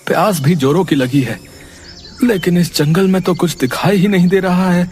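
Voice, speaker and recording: A man's voice: high voice, normal speed, darkish tone, close to an everyday voice. A man voicing a tired, thirsty shepherd in a cartoon story who talks to himself. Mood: weary. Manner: muttering to himself, weary. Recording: background music.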